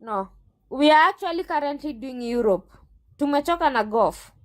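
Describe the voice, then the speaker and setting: Brief: woman agent under questioning, high voice, firm, sassy comebacks. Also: animated, moderate pace. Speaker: recruitment agent. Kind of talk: defensive interview answers.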